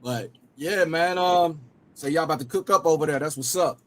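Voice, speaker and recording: A man's voice: a little high, normal speed, fairly bright tone. A man, hosting a loose, rambling casual chat and often repeating himself. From Cincinnati area. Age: 40s. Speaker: rapper and host.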